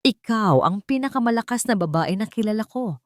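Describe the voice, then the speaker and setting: A woman's voice: mid-range voice, expressive, a little fast, dry recording, studio quality, pitched above the performer's own voice, close to an everyday voice. A woman voicing a devoted husband in a romance story, tender and reassuring.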